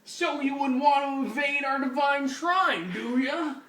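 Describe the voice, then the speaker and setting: A man voicing an angry old hippie cult captor who shouts and taunts in a comic story reading. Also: extremely high pitch, measured pace, pitched above the performer's own voice, full character voice. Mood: angry, taunting. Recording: echoey room, distant noisy mic.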